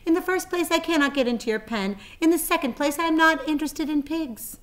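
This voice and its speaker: A snooty young storybook lamb, put on by a woman. It is curt and haughty, high in pitch. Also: fairly quick, lightly acted.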